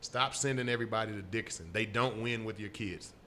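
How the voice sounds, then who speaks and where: A young man talks in a loose, chatty podcast style, full of "like" and "you know." His voice is bright and forward, with a faint hiss of noise under it. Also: lowish voice, a little fast. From Texas City, Texas. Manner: animated, storytelling with many fillers. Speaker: podcast co-host. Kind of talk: casual chat.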